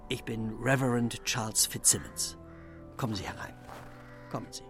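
A man playing an effusive, flustered, deferential reverend in a German radio mystery drama, gushing and excitable. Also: medium pitch, moderate pace, close to an everyday voice. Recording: music behind the voice.

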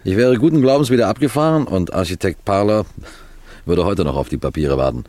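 A man playing a composed, clever police detective, recounting a case in measured, precise radio mystery narration. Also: low-pitched, expressive, a little mellow. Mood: explaining, precise. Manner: measured, precise, deliberate storytelling.

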